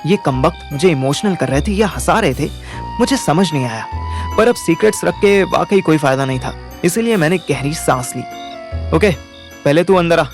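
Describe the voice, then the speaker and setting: A man's voice: a little high, animated, normal speed, over music, close to an everyday voice. A man playing a young schemer who narrates an audio drama in a wry, colloquial way with an Indian accent. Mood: explaining, placating. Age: young adult. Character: young male schemer narrating his detective venture, wry, placating. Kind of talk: first-person audio drama narration and dialogue in Hindi-English mix.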